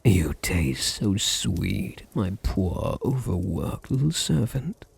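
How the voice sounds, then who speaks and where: A British man voicing a possessive, seductive vampire noble in an intimate ASMR roleplay, teasing and soothing, often dropping into a breathy whisper. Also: deep voice, big pitch swings, exaggerated voice. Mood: teasing, hungry. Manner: slow, soothing, teasing, condescendingly tender. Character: dominant, possessive, seductive male vampire noble doting on his servant.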